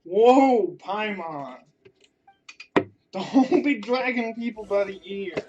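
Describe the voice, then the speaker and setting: A woman's voice: medium pitch, expressive, slow pace. A woman game streamer reacting casually as she plays. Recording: dull, noisy home microphone.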